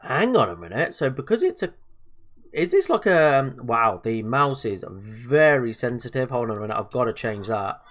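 A man's voice: mid-range voice, very animated, moderate pace, a bit bright. A man with a slightly stuffed-up voice from a cold, hosting casual let's-play commentary in a chatty, hesitant way. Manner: chatty, hesitant, lots of fillers and self-talk. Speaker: gaming video host.

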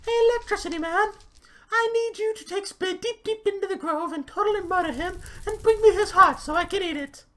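A man voicing a jealous, vain evil queen in a comic storybook reading, with a shrill, demanding manner. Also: fairly quick, pushed and bright.

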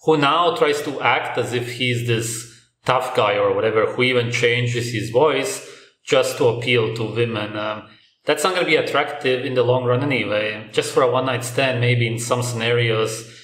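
A man commenting bluntly over video clips, speaking in a bright apartment room. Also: mid-range voice, moderate pace. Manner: dismissive, blunt critique. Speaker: reaction video host. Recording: roomy echo.

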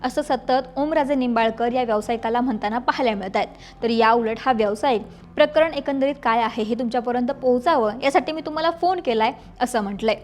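A woman news presenter delivers a scripted report. Her voice sits clearly high as she narrates the story. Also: fast talker.

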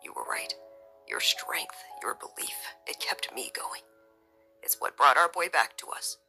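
Woman voicing a hushed, protective young father. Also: deep voice, brisk, faint music behind, voice pushed down, full character voice. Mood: tender, relieved. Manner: soft, breathy whispering, thick with emotion. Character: protective young father, emotional, fiercely loving.

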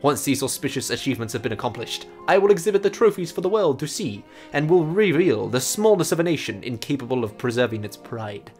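Dude doing snooty roguish french thief voice, grand, lively pitch, faint music. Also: male voice, fast, hardly any pauses, natural voice. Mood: haughty. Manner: grandiose, mock-French reading of a letter. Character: haughty roguish French male gentleman thief. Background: game music.

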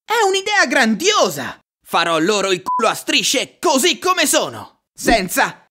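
A woman's voice: a little high, huge pitch swings, measured pace. A woman voicing a cocky, naive young boy in a cartoon fan dub, exclamatory and dramatic. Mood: cocky, fired up. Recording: music under the voice.

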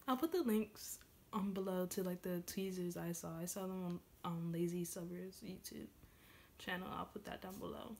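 A woman in her twenties chatting casually and excitedly as a reaction video host, halting and leaning often on "like". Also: on the low side. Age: 20s. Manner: excited, gushing; halting, repeats "like" heavily. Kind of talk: casual reaction vlog chat. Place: small room at home, indoors. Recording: clearly noisy home room recording.

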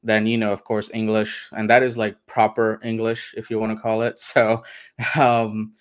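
A man from India, a guest on a video call interview from home, speaking in a relaxed, thoughtful way with lots of hedges. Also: on the low side, normal speed, clean tone. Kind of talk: casual interview.

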